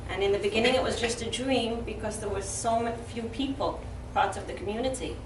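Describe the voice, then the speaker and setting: A woman giving a heartfelt, slightly halting personal tribute at a community event. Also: a little high. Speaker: community member giving thanks. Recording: echoey hall from far off, some noise.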